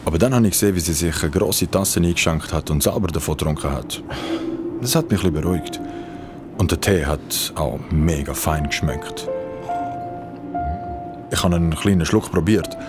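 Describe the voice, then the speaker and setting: A man's voice: low voice, normal speed, pauses often, natural voice. An actor playing a shaken young man with Swiss German speech, confiding his mountain ordeal in slangy radio play narration. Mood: confiding, uneasy. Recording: loud music behind the voice. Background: music.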